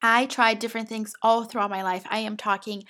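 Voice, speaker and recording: A woman's voice: a little high, fairly even intonation, a little fast, bright, forceful tone. A woman from Brazil with a Brazilian Portuguese accent, Portuguese her first language, hosting a motivational podcast in an encouraging, affectionate way. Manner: encouraging, affectionate, addresses listener as "my love". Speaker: podcast host and women's business coach.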